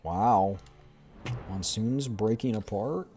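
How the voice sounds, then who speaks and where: A man with a deep voice streaming a game, chatting casually and reading story narration aloud in a relaxed way. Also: slow pace, faint music behind. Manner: relaxed, self-deprecating.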